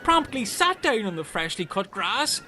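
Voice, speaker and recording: A man's voice: sits high, sing-song, normal speed, bright, forceful tone, a little hiss or hum, heavily acted. A man playing a dim, chatty, earnest Irish castaway in a comedy radio play, rambling and taking things literally. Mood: chatty, matter-of-fact.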